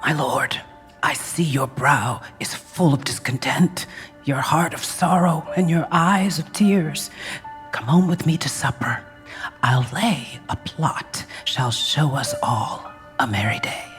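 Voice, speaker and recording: A sad plotting churchman in radio shakespeare, slow and grave, echoey with music. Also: a little high, animated, fairly bright tone. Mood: solemn, conspiratorial. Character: sorrowful, conspiring clergyman. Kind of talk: Shakespearean radio drama acting.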